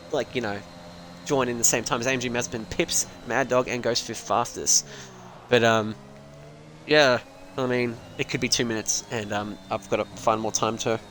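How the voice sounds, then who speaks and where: A man's voice: medium pitch, fairly bright tone, a little hiss or hum. Rambling sim racing commentator man. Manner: rambling, self-deprecating, swears casually. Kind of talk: casual live stream commentary.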